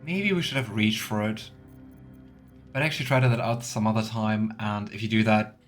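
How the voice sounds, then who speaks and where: A let's player giving casual, musing commentary on a game and reading dialogue options aloud. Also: medium pitch. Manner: musing, chatty asides.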